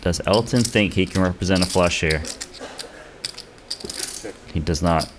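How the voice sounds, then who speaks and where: A man giving play-by-play commentary on a poker game, reading each hand in a measured, analytical way. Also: sits low, background noise.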